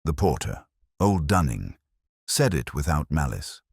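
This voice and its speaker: A man narrating a first-person detective mystery in a measured, reflective manner, close to the microphone. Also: a bass voice, sing-song, creaky, a little mellow, breathy edge, no echo.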